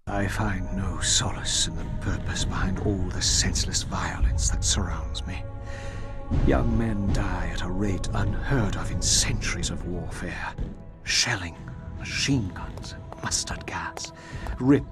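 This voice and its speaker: An actor playing a young war journalist who speaks in a hushed, grim half-whisper. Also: male voice, deep voice, measured pace, loud music behind the voice. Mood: haunted. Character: young male war journalist, haunted and weary.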